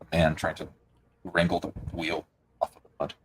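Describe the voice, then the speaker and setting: A man narrating the scene as game master of a tabletop role-playing game, slowly and descriptively, with pauses. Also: sits low, completely flat delivery, a little hiss or hum.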